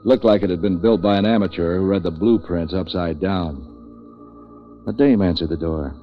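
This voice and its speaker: An actor playing a streetwise, wisecracking man for hire in 1940s radio noir, terse and deadpan. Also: low voice, moderate pace, faint music behind, close to an everyday voice. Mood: wry, deadpan. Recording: muffled vintage radio recording.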